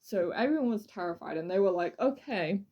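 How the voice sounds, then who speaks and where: A woman's voice: medium pitch, lively intonation, a little fast, highs cut off. A woman co-hosting a true crime podcast, chatting casually and informally as she tells the story, leaning on repeated words for emphasis. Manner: informal, emphatic repetition for effect.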